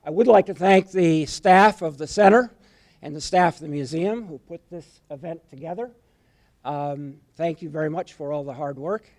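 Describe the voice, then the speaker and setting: An older man giving formal welcome remarks at a podium microphone in a lecture room, with fillers and a loudness that rises and falls. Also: on the high side, moderate pace. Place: lecture room with a painting behind.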